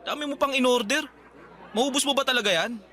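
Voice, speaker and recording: A scornful young boyfriend in a radio drama, with a high voice and a bright edge. He is blunt and mocking and rattles his lines off quickly. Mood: accusing. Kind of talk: Tagalog radio drama acting.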